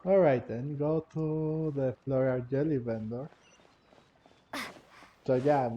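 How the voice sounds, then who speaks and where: A man doing casual, halting let's play commentary, rambling and sometimes reading game text aloud. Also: fairly high pitch, dark tone. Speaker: gaming video host. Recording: dull lo-fi mic, faint game music. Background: game music.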